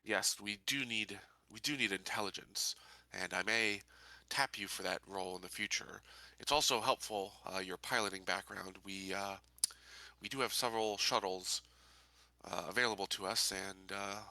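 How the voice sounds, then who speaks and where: Officious would-be sheriff, a man roleplaying: lowish, very sharp and forceful, self-important, halting. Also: no room sound. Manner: halting, officious, full of repeated starts. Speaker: player in a tabletop game. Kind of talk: improvised in-character roleplay.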